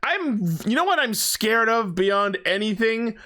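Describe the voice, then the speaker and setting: A man's voice: sits high, animated, a little slow, bright, forceful tone. A man co-hosting a podcast, giving a casual fan recap in an opinionated, sweary way. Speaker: podcast host.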